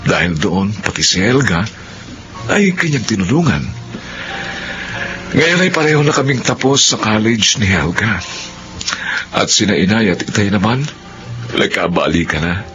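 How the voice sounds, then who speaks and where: A man's voice: on the low side, a bit bright. A man playing an earnest young graduate narrating his life story in a radio drama. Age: young adult.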